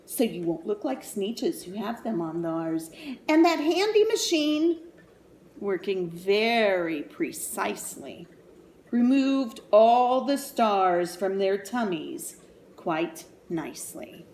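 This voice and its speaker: Animated woman reading aloud to children, lively pitch. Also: slow pace, a little hiss or hum. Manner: animated, sing-song, child-directed. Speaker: storyteller reading a picture book to young children. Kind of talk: expressive read-aloud with asides and questions to children.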